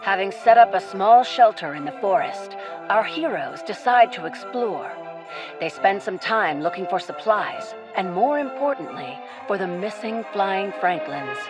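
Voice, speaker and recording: Woman narrating over clear music. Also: medium pitch, animated, measured pace. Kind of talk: scripted storytelling narration for radio play.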